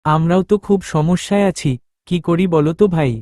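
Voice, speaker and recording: A man's voice: fairly high pitch, fairly even intonation, brisk, no echo, studio quality, lightly acted. A man playing a worried, deferential village father in a cartoon, with a plaintive, friendly manner. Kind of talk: cartoon voice acting. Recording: loud ambient rain noise behind.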